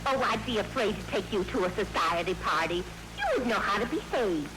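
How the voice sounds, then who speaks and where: A woman's voice: mid-range voice, animated, a little fast, close to an everyday voice, poor audio. A woman playing a brassy, bossy spinster maid in a 1940s radio comedy. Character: brash man-hungry spinster maid, woman. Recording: old radio broadcast with music underneath.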